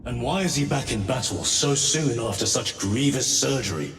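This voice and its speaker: An actor playing an earnest, suspicious space marine sergeant in a video game, with a formal, pointed delivery. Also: male voice, medium pitch, animated, normal speed, fairly bright tone. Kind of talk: dramatic video game cutscene acting. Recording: echoey hall, distant mic, faint music.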